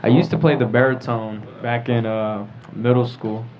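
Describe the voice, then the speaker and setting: A man's voice: medium pitch, steady tone, moderate pace. A teenage or young adult American man hosting a casual group chat show. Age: teens or young adult. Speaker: host of a teen talk show. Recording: dull lo-fi audio with clear background noise.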